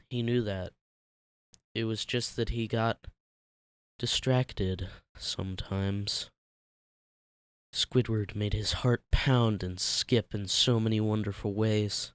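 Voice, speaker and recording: Male narrator for dramatic fan fiction: clean-toned, fairly low, close and dry sound. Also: clear, resonant tone, no room sound.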